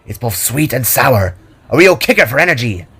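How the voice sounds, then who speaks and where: A man's voice: mid-range voice, big pitch swings, normal speed, bright, forceful tone, husky, faint music behind, full character voice. A man voicing an upbeat, caring female kitsune, an older sister who coaxes playfully, in a narrated story.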